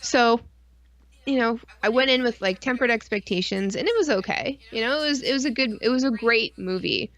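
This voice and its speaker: A woman's voice: on the high side, a little fast, fairly bright tone. Casual, rambling woman co-host. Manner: rambling and informal, frequent 'like' and mild swearing. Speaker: podcast and stream co-host. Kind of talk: casual chat.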